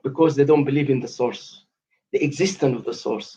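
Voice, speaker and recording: A man in his late fifties from the West Bank talks quickly with a gravelly edge, pressing his points in insistent, formal phrasing. His voice comes through a dull, lo-fi call line. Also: medium pitch, warm tone. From West Bank, Palestine. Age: 50s. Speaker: caller in a debate aftershow. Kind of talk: heated live discussion. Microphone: online call.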